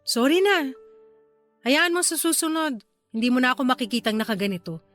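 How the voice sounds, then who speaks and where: Sweet evasive pinay girlfriend, radio drama, high pitched, apologetic and affectionate. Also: expressive, a little fast, fairly bright tone, soft background music. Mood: sweet, coaxing. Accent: Filipino. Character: sweet, evasive young girlfriend placating her partner. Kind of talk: Filipino radio drama acting.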